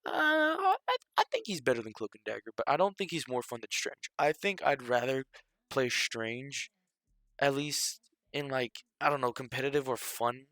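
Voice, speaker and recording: Young male streamer, mid pitch, dry close mic, a bit stuffy from a cold, loose filler-heavy ramble. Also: big pitch swings, moderate pace, bright, forceful tone, dry recording. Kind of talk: casual rambling stream commentary.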